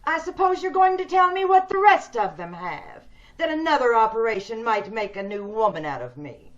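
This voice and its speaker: A woman's voice: on the high side, very animated, normal speed, a little hiss or hum. A woman playing a bitter, imperious, disfigured recluse in an old-time radio drama, theatrical and despairing. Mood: scornful, angry. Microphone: video call. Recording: dull video-call audio.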